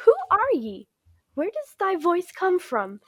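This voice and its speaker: High-voiced, defiant old-fashioned village matriarch played by a woman. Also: huge pitch swings, takes it slowly, a bit bright, full character voice. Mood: startled, indignant. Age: young adult. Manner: archaic thee-and-thou speech, guarded, indignant. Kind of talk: classic radio drama acting.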